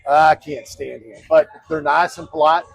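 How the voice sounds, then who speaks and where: A man's voice: a little high, steady tone, a little slow, creaky, rough recording. A man, talking earnestly in a casual interview, rambling and restarting as he goes. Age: 50s. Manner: earnest, rambling with frequent restarts and quoted asides. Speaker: mayoral candidate.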